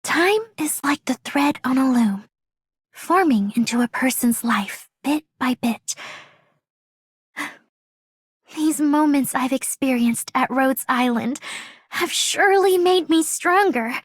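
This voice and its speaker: A gentle young swordswoman in a video game. She speaks slowly in a high, dry-recorded voice, with a solemn, poetic earnestness and quiet resolve. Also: lively intonation, breathy edge, no room sound, heavily acted. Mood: reflective, resolute.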